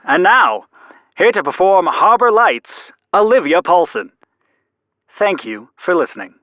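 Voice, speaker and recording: Man acting a radio-play emcee, high voice, big swoops, showy projection, dull lo-fi sound. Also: sing-song, unhurried, no echo. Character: enthusiastic male stage announcer introducing a singer. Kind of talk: show announcer in student radio drama. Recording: slightly muffled, lo-fi sound.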